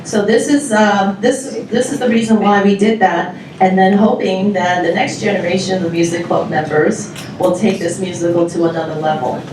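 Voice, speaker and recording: A woman from Taiwan, giving a chatty, giggly welcome speech to an audience, pausing often with fillers. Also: medium pitch, fairly even intonation, darkish tone, a little hiss or hum. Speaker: music club organizer presenting a show. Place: large indoor hall with live audience. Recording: very echoey hall, mic far off.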